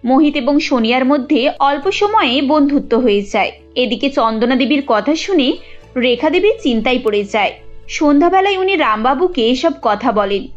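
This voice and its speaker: A woman's voice: sits high, expressive, normal speed. A woman reading scripted narration for a cartoon family story. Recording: dull lo-fi audio with music.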